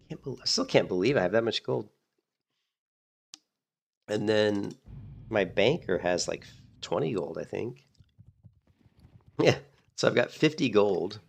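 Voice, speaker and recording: Deep gravelly guy rambling over his game, kinda hoarse from a cold, lots of "you know". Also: animated, slow pace, pauses often. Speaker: game streamer. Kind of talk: casual gameplay commentary.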